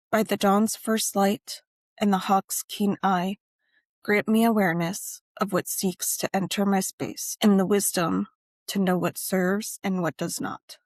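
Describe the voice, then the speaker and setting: A woman narrating a scripted guided meditation and ritual in measured, deliberate phrases with frequent pauses. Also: medium pitch, dry recording.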